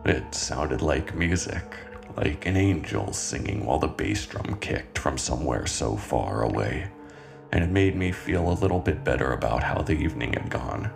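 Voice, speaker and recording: A calm male killer, acted by a man in a horror audio drama, confessing fondly in an extremely low voice. His delivery is gravelly and deliberate, with dramatic pauses over a soft music bed. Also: full character voice. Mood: calm, wistful. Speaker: writer and narrator of a horror fiction podcast. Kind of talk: scripted first-person horror monologue. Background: music soundtrack.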